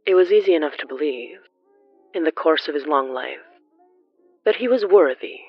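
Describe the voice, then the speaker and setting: A horror fiction narrator reads with measured, theatrical delivery. The recording is dry and a touch dull, with faint music behind the voice. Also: mid-range voice, expressive, dry recording. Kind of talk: scripted dramatic gothic horror narration.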